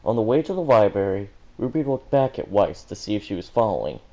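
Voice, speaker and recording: A man's voice: on the low side, big pitch swings, brisk, warm tone, highs cut off. A man reading a story aloud as an amateur, stumbling now and then over words and correcting himself. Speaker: fan fiction reader and commentator.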